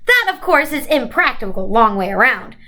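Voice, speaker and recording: Gentle winged female mentor read by a woman, very high voice, patient, encouraging, explanatory, storybook character. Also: sing-song, moderate pace. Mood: patient explaining. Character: gentle, reassuring female winged otherworldly guide.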